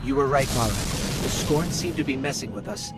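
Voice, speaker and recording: A man's voice: mid-range voice, moderate pace, over music, close to an everyday voice. A man voicing a wry little robot companion. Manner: casual, wry, questioning. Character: small male robotic companion, wry and curious. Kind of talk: video game mission dialogue. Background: loud orchestral game score.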